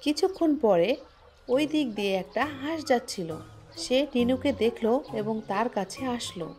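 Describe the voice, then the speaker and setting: Narrator: woman, slightly high, plain storybook reading, music under. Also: animated, moderate pace, mellow. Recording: music playing behind.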